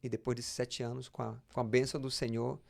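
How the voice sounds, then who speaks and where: A man's voice: mid-range voice, level tone, fast talker, creaky. A Brazilian man speaking as a pastor and interview guest, telling personal anecdotes conversationally and often repeating words as he explains.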